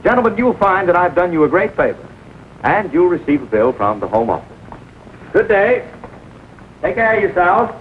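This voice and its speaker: A man's voice: high voice, big pitch swings, moderate pace, pauses often. An actor playing a pushy, glib traveling salesman in a 1940 film comedy, with slick sales patter. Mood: brisk, smug. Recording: hissy, dull old film soundtrack.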